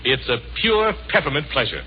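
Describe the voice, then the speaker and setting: A man's voice: medium pitch, wildly swooping pitch, moderate pace, a little hiss or hum. A man announcing from a script in a polished, declamatory 1940s radio style. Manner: declamatory, polished salesman delivery. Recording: dull old radio recording, faint music.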